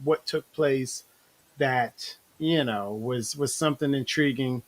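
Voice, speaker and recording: A man hosting a casual panel chat, playful and quick to laugh, often restarting his sentences. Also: medium pitch, over a noisy crowd. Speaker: host.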